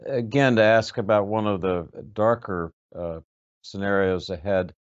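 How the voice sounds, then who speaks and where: A man with a deep, low voice interviews a guest in a formal register. His phrasing is measured and hesitant, and the audio is dull and lo-fi. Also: clean tone. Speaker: interviewer, moderator.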